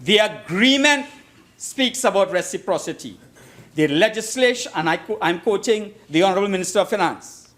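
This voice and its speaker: A man's voice: high voice, lively intonation, moderate pace, a bit bright. A Trinidadian man giving a formal parliamentary debate speech as an opposition member, emphatic and oratorical, repeating phrases for effect. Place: parliament chamber.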